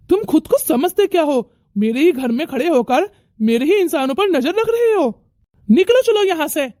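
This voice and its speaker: A woman's voice: high voice, darkish tone, no echo, clean studio sound. A woman voicing brash, defiant young men in a dramatic, confrontational Hindi family melodrama cartoon. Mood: angry. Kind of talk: Hindi moral-story cartoon dialogue.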